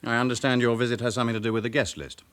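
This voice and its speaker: A man's voice: medium pitch, level tone, racing, a bit bright, close to an everyday voice. An actor playing a respectable businessman with a past in a radio drama, speaking formally, with controlled and faintly haughty pride. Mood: guarded, courteous. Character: smooth respectable businessman with a past, guardedly proud. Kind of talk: naturalistic radio drama acting.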